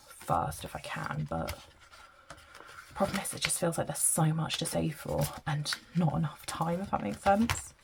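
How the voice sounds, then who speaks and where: Congested British woman, low and gravelly, chatty money-counting vlogger, noisy home recording. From Britain. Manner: chatty, counting money aloud, apologetic asides. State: blocked-up nose from hay fever. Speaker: budgeting vlogger. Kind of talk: casual chat while counting cash aloud.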